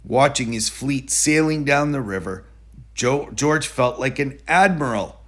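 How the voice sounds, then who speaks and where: A man reading a children's story aloud in an expressive, deliberate storytelling delivery. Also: medium pitch. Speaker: storybook reader.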